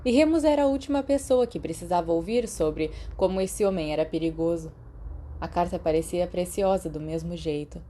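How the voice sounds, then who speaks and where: A woman's voice: fairly low pitch, fairly quick, mellow. A woman with a Brazilian accent reading a scripted story as an audiobook narrator. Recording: clearly noisy background.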